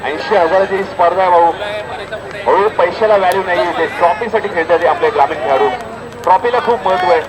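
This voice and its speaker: A man's voice: sits high, fast. A man giving live, informal cricket commentary at a village tournament, often repeating himself and addressing those around him. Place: outdoor cricket ground. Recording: noisy outdoor event with loud crowd. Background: crowd and outdoor ambience noise.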